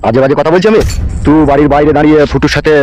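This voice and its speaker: A man voicing a foul-mouthed, jealous village politician in a comedy cartoon, gruff and scolding. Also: a little high, steady tone, very fast, a little mellow, close to an everyday voice, rough recording. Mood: jealous, threatening. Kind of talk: amateur comedy cartoon dialogue.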